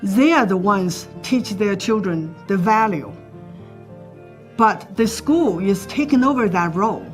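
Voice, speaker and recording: A woman from China with a Chinese accent, speaking deliberately in an interview. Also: mid-range voice, expressive, faint music behind. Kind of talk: documentary interview.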